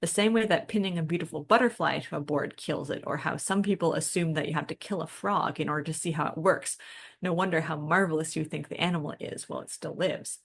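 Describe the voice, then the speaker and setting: A woman's voice: sits low, quick pace, vocal fry, breathy edge. A woman co-hosting a discussion podcast, talking through ideas in a reflective, wordy and self-deprecating way. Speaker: podcast co-host.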